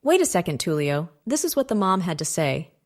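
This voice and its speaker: A woman's voice: fairly low pitch, sing-song, a little fast. A woman voicing a composed, helpful female puppet reporter in a fan-dubbed mock news show.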